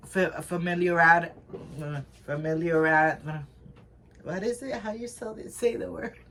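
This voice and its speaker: A woman's voice: on the low side, fairly bright tone. A woman co-hosting a casual reselling chat, talking in a rambling way with lots of fillers and restarts. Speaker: co-host of a reselling channel.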